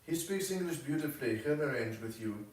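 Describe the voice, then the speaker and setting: A coquettish young Frenchwoman read by a man from a storybook, effusive and shrewd. The voice sits far from the mic in a very echoey room, with formal, flattering turns of phrase. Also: medium pitch, a little fast, voice pushed up, close to an everyday voice.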